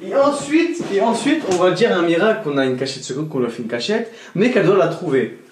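A young man vlogging casually at home, excitable and chatty, talking straight to his viewers and laughing often. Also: high voice, fast, crowd noise. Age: young adult. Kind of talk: casual vlog to camera. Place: indoor bedroom at home. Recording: roomy echo, voice from off-mic.

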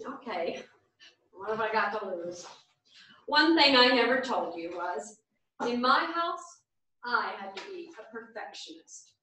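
A woman's voice: on the high side, lively intonation, measured pace, pushed and bright, slight background noise. A woman playing a dutiful, bitter perfectionist in a staged play reading, recorded at a distance in a theatre. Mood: hesitant. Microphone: far camera. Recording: echoey theatre, far from the microphone.

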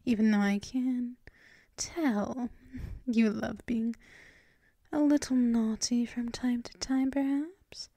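A woman performing intimate ASMR roleplay as a gentle, teasing, doting dominant girlfriend, often whispering in a soothing, affectionate murmur close to the microphone. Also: on the high side, fairly even intonation, takes it slowly, dry recording.

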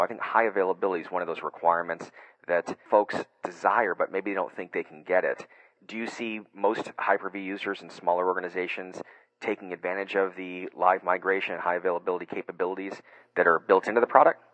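A middle-aged man with a low voice hosts a technology webcast in an upbeat, chatty way. He frames long questions and scatters fillers as he goes. The sound is dry and close to the mic. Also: fairly even intonation, fast talker, a bit bright, no echo, lo-fi sound. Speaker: webcast host. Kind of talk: conversational tech webcast interview.

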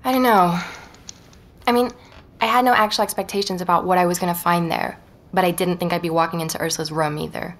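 An actress playing an inquisitive, persuasive woman podcaster in an audio drama, narrating and chatting in a measured, natural way. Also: on the low side, steady tone, natural voice. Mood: thoughtful. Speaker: podcast narrator and lead character.

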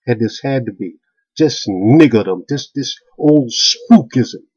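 A man's voice: mid-range voice, lively intonation, takes it slowly, darkish tone, husky. A middle-aged man in a heated webcam rant from a small room, stammering and repeating himself as he goes. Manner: heated, repetitive stammering restarts. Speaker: video blogger. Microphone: webcam at close range. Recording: dull lo-fi webcam audio.

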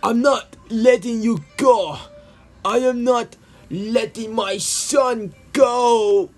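Guy playing panicked protective dad in a comic dub, yelling and begging, real high voice. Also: fairly even intonation, extremely slow, faint music behind, exaggerated voice. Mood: desperate. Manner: pleading, shouting. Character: desperate protective adult father, casual-talking man.

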